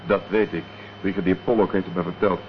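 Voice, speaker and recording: A man's voice: sits low, fairly even intonation, fairly quick, natural voice. A man playing a polite, probing private detective in a Dutch radio drama. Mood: businesslike, polite. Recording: dull, noisy old radio recording.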